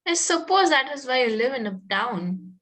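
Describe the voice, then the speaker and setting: A spirited young country girl in a Victorian comedy, acted by a woman at a table read. The voice is on the high side. She is sweetly polite with a pointed edge, and she stumbles a little as she reads. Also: very animated, normal speed, fairly bright tone. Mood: barbed sweetness. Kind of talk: amateur reading of a Victorian comedy play.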